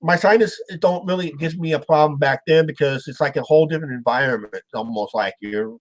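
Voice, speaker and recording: A man's voice: fairly high pitch, fast talker, dry recording. A man hosting a casual live-stream chat, rambling with lots of uh's and restarts, his voice sounding stuffed up and nasal. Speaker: show host.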